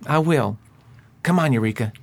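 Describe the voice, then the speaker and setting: A woman playing a brave, practical young farm boy in a radio play, with a brisk, confident manner. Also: a bass voice, lively intonation, a little hiss or hum. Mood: determined.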